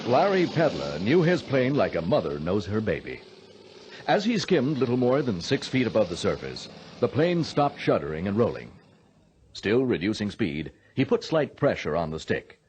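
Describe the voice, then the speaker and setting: A man's voice: lowish voice, very animated, normal speed, darkish tone. A man playing the dramatic storyteller who narrates a scripted radio adventure. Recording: slightly noisy vintage radio drama.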